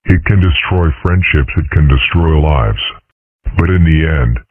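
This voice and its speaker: Man as old cartoon dragon, bottomless low pitch, rough husky tone, deadpan oratory, dignified, narrow phone-like sound. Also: measured pace, dry recording, full character voice. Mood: solemn, dry. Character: old dignified dragon father and politician, dryly philosophical. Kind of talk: scripted comic-strip dub. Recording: muffled, tinny phone-like audio.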